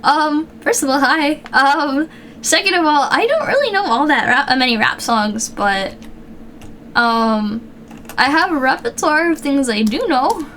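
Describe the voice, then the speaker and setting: A woman streamer chatting loosely as she works on a drawing. Her talk wanders and is full of "um" and "like", and her voice is low-energy with a slightly tired throat. Faint keyboard typing can be heard behind her. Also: expressive, unhurried, a bit bright, slight background noise. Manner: rambling, chatty, many fillers and restarts. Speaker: live streamer and artist. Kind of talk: casual live-stream chat while drawing.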